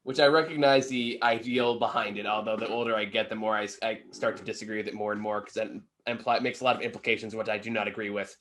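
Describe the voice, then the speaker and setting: A man's voice: on the low side, fairly even intonation, quick pace, hardly any pauses, a bit bright, a little hiss or hum. A man co-hosting a casual film review chat, speaking informally and hedging as he trails into asides.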